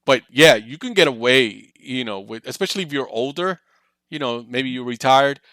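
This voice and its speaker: A man's voice: mid-range voice, animated, moderate pace, fairly bright tone, no echo. A man hosting a podcast, chatting casually with a guest in an interview style. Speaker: podcast host.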